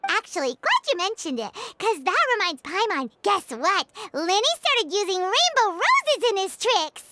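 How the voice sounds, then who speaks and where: A woman voicing a tiny, excitable, cheeky childlike fairy companion in a video game, with a squeaky voice and whiny, pleading manner. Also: normal speed, very noisy. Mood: chatty, awkward. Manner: speaks of self in third person, whiny pleading.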